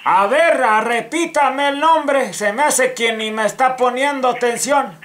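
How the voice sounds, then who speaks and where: A man with a Mexican accent playing a slow, stubborn, confused old grandfather on a prank call, rambling and repeating himself. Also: very high-pitched. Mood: insistent, fussy. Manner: rambling, repetitive, feigning confusion. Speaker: prank caller. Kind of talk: comic phone prank. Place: living room, speaking to a handheld phone on speaker. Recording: noisy living room with phone clicks.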